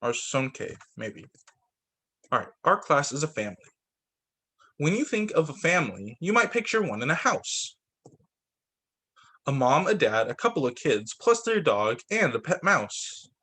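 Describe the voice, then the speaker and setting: A man reading picture books aloud to young students as a teacher, expressive, adding his own off-the-cuff remarks. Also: on the high side, a little slow, long pauses, husky.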